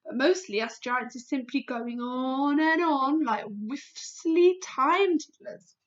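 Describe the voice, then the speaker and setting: A woman voicing a big, friendly, very old male giant who jumbles his words into made-up ones, in a booming storybook voice. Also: slow pace. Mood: earnest. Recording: dull lo-fi recording.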